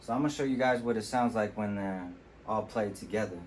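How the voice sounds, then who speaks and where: A man with a deep voice, casually walking through how he mixes his own vocals in a relaxed, explanatory way. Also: brisk, slight background noise. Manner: relaxed, explanatory, with fillers like "you know". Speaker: music producer demonstrating vocal mixing. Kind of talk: casual tutorial walkthrough. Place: temporary home studio.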